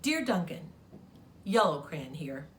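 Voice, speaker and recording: A woman voicing a whiny, boastful yellow crayon from a children's storybook, indignant and insistent. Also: sits low, very animated, takes it slowly, slight background noise, voice pushed down, heavily acted.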